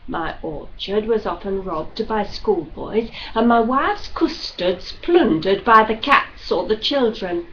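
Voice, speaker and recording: A woman narrating a classic novel as an audiobook reader, with a measured, expressive storytelling delivery. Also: mid-range voice. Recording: dull lo-fi recording with faint noise.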